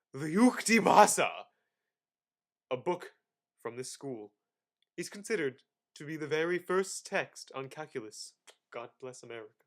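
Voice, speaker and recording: A man giving a mock-dramatic scripted reading in a theatrical manner, with halting pauses and swings between hushed and loud delivery. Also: fairly high pitch. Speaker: reader.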